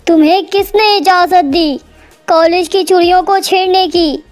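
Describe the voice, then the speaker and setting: A woman's voice: extremely high pitch, steady tone, unhurried, soft background music. A woman voicing a brave, polite young male student bird in a cartoon, firm and earnest. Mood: stern.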